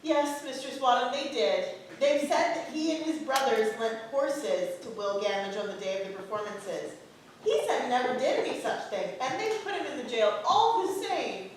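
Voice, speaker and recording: An actress playing a polite, dutiful townswife of early modern England in a staged play reading. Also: female voice, high-pitched, animated, moderate pace. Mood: anxious. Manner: polite, deferential. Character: Bridget, a deferential, dutiful, hard-pressed early modern townswife. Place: playhouse stage. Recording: echoey theatre from far off, faint noise.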